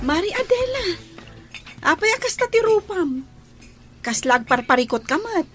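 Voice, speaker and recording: Radio drama: devout, admonishing woman friend, Ilocano accent, high lively voice, caring. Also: moderate pace, over music. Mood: concerned.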